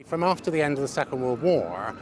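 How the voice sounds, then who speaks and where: A man's voice: mid-range voice, very animated, a little fast. A man in his sixties reminiscing conversationally in an interview. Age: 60s. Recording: clear outdoor traffic noise behind.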